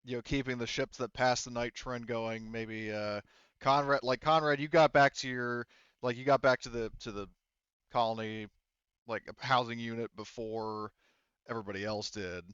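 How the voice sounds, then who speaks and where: Male game master, conversational and halting, smooth voice on a slightly dull recording. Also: mid-range voice, steady tone, a bit bright, no room sound. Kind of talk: narrating a tabletop roleplaying game, casual.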